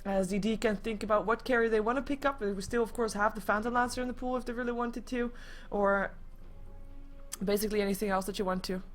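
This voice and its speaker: A woman casting an esports match live in a casual, chatty, expressive way. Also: mid-range voice, quick pace, soft background music. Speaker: esports commentator.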